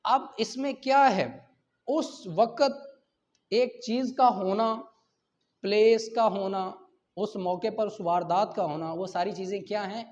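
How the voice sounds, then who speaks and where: A man's voice: high voice, a little slow, darkish tone. A Pakistani man lecturing as a law teacher, explaining step by step in a didactic way, often asking questions and answering them himself. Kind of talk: explanatory exam-prep lecture mixing Urdu with English legal terms. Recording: dull lo-fi lecture audio.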